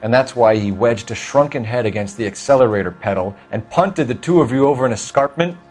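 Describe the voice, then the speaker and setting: A man voicing an earnest, probing police detective in a video game, measured, formal and pressing. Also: mid-range voice, expressive, darkish tone, faint music behind, natural voice. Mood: stern, accusing. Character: earnest, probing male police detective, stern but controlled.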